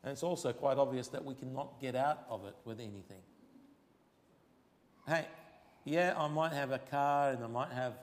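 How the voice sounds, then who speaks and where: A man with an Arabic accent whose first language is Arabic, preaching a sermon with emphatic, drawn-out key phrases. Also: mid-range voice. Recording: long hall reverb.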